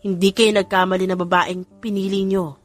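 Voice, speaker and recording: A principled Filipino mother of grown children, acted by a woman in an audio romance. She is firm and protective, admonishing at a middle pitch, with faint music behind. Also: flat delivery, moderate pace, natural voice. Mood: proud, advising.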